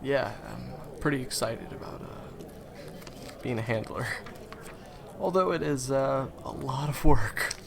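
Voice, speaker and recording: A man's voice: mid-range voice, slow pace, creaky, noisy background. A man acting in an audio roleplay as a friendly, awkward, eager young guide to monster hunters, talking hesitantly and stammering to the listener. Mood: modest, chatty.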